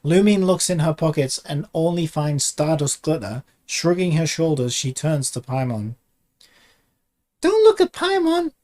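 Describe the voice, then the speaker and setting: A man's voice: a little high, normal speed, few pauses, darkish tone. A young man giving casual comic commentary as a streamer, reading out a script and riffing on it.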